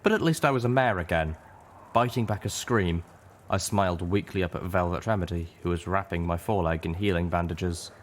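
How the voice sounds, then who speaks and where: A man's voice: low voice, fairly quick, slight background noise. A man reading a scripted story aloud as a dramatic audiobook narrator. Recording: echoey, distant mic, loud music behind.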